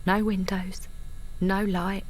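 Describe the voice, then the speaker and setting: A woman's voice: medium pitch, little pitch movement, slow pace, a little hiss or hum, natural voice. A woman playing an anxious, naive young mother in an intimate audio drama. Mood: hushed, aching. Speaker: actor and narrator in an audio drama. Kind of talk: intimate first-person audio drama acting.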